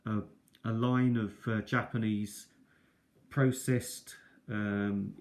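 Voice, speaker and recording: A man's voice: on the low side, little pitch movement, slow pace. A man hosting an unscripted, casual show-and-tell review, speaking in a hesitant, rambling way and often correcting himself. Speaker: host of a cassette collection review video. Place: kitchen table, indoors. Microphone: tablet built-in microphone.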